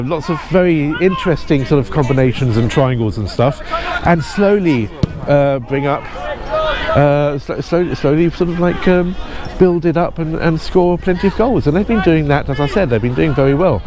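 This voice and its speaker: A man with a fairly high voice presents to camera outdoors at a football ground. He talks informally, with lots of ums and restarts, and keeps apologising for his slips. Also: moderate pace.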